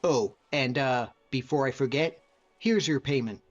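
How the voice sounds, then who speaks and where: A man playing a friendly, modest lone-wolf hunter as a video game companion, speaking in an earnest, measured way. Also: mid-range voice, muffled recording, close to an everyday voice. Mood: warm, easygoing.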